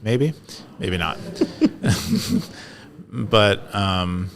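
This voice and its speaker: A man's voice: sits low, extremely slow, crowd noise. A man from California in a casual talk, explaining an idea with many fillers, restarts and hesitations. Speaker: podcast co-host. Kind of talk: casual conversational discussion.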